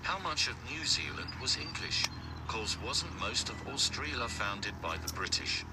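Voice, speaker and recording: A male text-to-speech voice reading viewer messages out in a flat, synthetic way. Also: mid-range voice, unhurried, far from the mic, noisy background. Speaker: text-to-speech chat reader.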